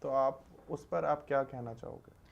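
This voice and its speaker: A man hosting a relaxed podcast interview, his voice carrying a rough, gravelly texture. He asks his questions conversationally and fills his guest's pauses with a constant low "hmm" of agreement. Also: highs cut off. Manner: steady stream of quiet "hmm" backchannels while listening. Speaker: podcast host.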